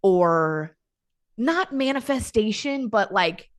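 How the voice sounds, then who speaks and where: A woman's voice: medium pitch, expressive. A woman speaking as a podcast guest, a mother talking about faith in a candid, emphatic way. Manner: emphatic, candid, quick to exclaim. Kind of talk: casual faith conversation.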